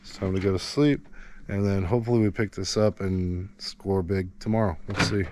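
A man's voice: deep voice, steady tone, normal speed. A man chatting casually on a road-trip vlog, laid-back and upbeat with slangy talk. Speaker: vlog co-host. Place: outdoors by dumpsters, windy. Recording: loud wind noise outdoors.

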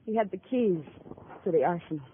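A woman's voice: on the low side, lively intonation, brisk, close to an everyday voice. A woman acting a hard-bitten, world-weary gangster's wife in a 1950s radio drama. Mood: bitter, confessing. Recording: narrow, noisy 1950 radio recording.

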